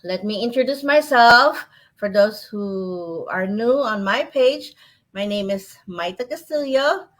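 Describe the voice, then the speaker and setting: A woman with a Filipino accent hosting a live online interview, speaking haltingly with many pauses. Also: medium pitch, expressive, fairly bright tone. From Philippines. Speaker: interview host.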